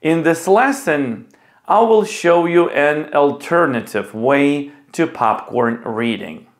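A middle-aged man speaking as an English teacher, with deliberate, clearly enunciated delivery. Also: fairly high pitch. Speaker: ESL teacher and video host. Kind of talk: presenting to camera and leading a children's class.

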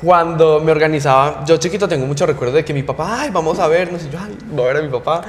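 A man's voice: fairly high pitch, fast talker. A young Colombian man with a paisa accent telling personal stories in casual chat, animatedly acting out what people said. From Medellín, Colombia. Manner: animated, acts out quoted remarks. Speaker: podcast guest, content creator. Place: small indoor podcast studio. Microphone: close boom-arm microphone. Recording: echoey room, faint music.

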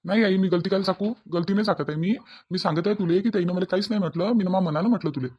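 A man's voice: sits high, rapid-fire, husky, dull audio. A man with a Varhadi Marathi accent playing a conciliatory grown family man in a cartoon drama, coaxing and reasoning with repeated phrases. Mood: protesting.

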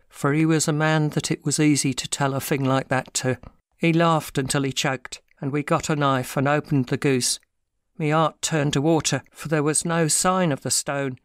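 A man performs a frightened small-time thief in an audiobook drama. The voice is smooth and fairly high for a man, recorded close and dry. He confesses in an anxious, self-pitying way. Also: no echo, natural voice.

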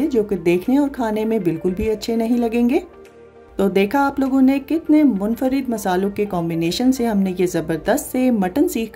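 Female cooking host, warm low-key delivery, instructional voice-over. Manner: calm step-by-step explaining, addresses viewers directly. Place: voice-over, not recorded in the pictured kitchen.

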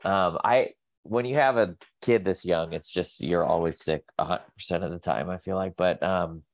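A very low-pitched man with a nasal voice, sounding sick with a cold. He rambles and restarts often as a guest on a video call, and his voice comes across dry and close. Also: normal speed, no room sound. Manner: rambling, many fillers and restarts. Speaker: guest, pet nutrition company staff. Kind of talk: casual video call interview. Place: home office.

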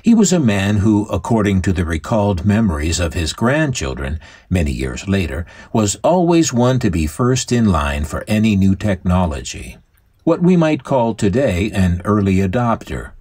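A man's voice: fairly low pitch, sing-song, normal speed, darkish tone, breathy edge. A man hosting and co-narrating in a scripted but conversational documentary style. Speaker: host and co-narrator.

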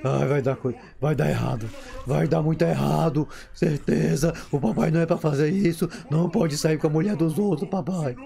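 Gravelly Brazilian man reacting playfully. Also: fairly high pitch, moderate pace, warm tone. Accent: Brazilian Portuguese. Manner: playful, pleading, mock-dramatic. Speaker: reaction video host. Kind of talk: excited reaction commentary.

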